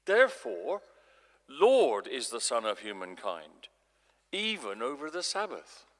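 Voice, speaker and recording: A man playing an authoritative male religious teacher in a live dramatic scripture reading, declaiming in measured, emphatic phrases. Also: mid-range voice, wildly swooping pitch. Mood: challenging. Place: large church, live performance. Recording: echoey church, long reverb.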